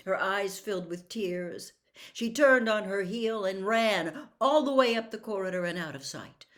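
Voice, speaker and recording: Female storybook narrator, lowish pitch, wide dramatic intonation, deliberate delivery. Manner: expressive, deliberate.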